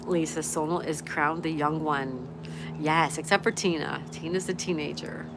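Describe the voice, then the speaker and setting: A woman's voice: sits low, fairly even intonation, moderate pace, noisy background. A woman in her sixties hosting a casual livestream, chattily reading out comments and greeting viewers. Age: 60s. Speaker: livestream host.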